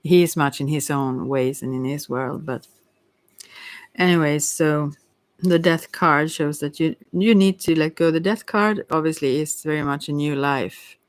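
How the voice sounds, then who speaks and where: A woman's voice: deep voice, measured pace, no room sound. A middle-aged woman giving a casual, rambling tarot reading in a hesitant, candid, digressive way. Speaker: tarot reader.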